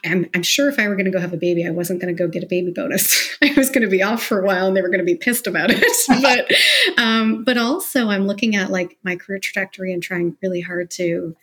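Candid woman with a slightly husky voice. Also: mid-range voice, fast, a bit bright. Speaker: interview guest, business professional. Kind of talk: candid conversational interview.

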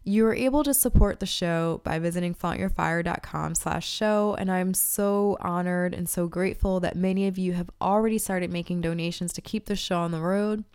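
A woman's voice: on the low side, normal speed, few pauses, a little mellow, clean tone. A woman hosting a podcast, congested from allergies, giving upbeat, direct advice. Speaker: podcast host. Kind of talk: casual podcast talk and read ads.

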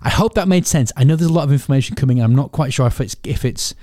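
A man's voice: medium pitch, quick pace, dark tone, dry recording, studio quality. A man hosting a solo podcast, sniffling from a cold as he candidly explains his planning in a thinking-aloud way. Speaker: podcast host and business owner.

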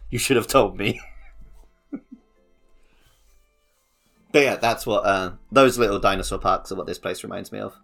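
A man's voice: on the low side, moderate pace, gravelly, pauses often, a bit bright, faint music behind. A man chatting casually as a podcast co-host. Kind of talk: casual chat.

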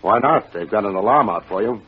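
Deep-voiced deadpan private eye played by a man. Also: brisk, a little hiss or hum, close to an everyday voice. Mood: terse. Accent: American. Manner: deadpan, wisecracking. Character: wry, unflappable, soft-hearted private detective. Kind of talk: 1950s radio detective drama acting. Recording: dull old radio recording, music behind.

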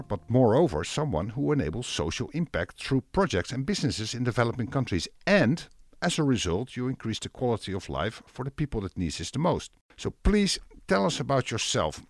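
A man hosts an interview, his pitch swinging a lot as he asks long, rambling questions. The sound is close and dry. He restarts his sentences often. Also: sing-song, brisk, no echo. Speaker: interview host.

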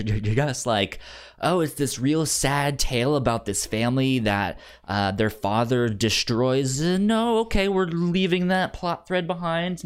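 Slow, stuttering man chatting about films. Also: medium pitch. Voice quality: stutter, repeats sounds and words many times. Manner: halting, acts out paraphrased lines. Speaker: film review podcast co-host. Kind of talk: casual chat about a film.

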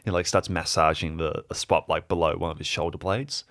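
Low-voiced man improvising a story. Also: steady tone, a bit bright. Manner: halting, improvising as he goes. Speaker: improvising player in an audio drama. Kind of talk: casual improvised story narration.

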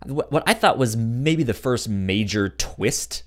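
A man co-hosting a podcast, discussing a TV show casually in a halting way as he feels out his words. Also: mid-range voice, animated. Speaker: podcast co-host.

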